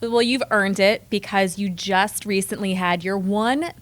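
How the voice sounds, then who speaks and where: A woman's voice: medium pitch, steady tone, a little slow. A woman hosting a podcast, introducing the show and guest in a presenter's style. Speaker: podcast host.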